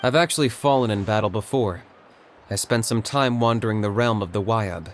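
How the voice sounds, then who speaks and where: A man playing a calm, serious young warrior in a video game, measured and matter-of-fact. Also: on the low side, slight background noise, natural voice. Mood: earnest. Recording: music behind the voice.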